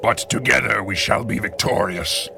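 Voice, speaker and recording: A grave supervillain leader speaks in a low voice with heavy creak. He is commanding and theatrical, pronouncing each phrase deliberately, like a 1930s radio serial villain, over a clear music bed. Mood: ceremonial. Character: grave, commanding male supervillain mastermind leading a secret cabal.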